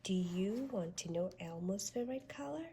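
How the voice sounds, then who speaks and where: A woman reading a children's storybook aloud with expressive, sing-song delivery aimed at young children. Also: mid-range voice, moderate pace, slight background noise. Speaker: storybook reader.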